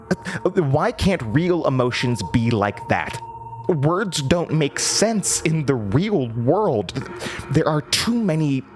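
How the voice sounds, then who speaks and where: A man playing a gleeful, unsettling word-devouring entity in a horror audio drama, theatrical and savoring each word. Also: medium pitch. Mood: detached, brooding. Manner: theatrical, giggling, savoring words.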